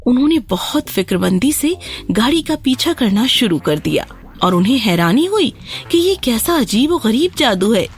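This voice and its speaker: A woman's voice: fairly high pitch, sing-song. A woman narrating a scripted fairy tale for children as a storyteller. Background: background music.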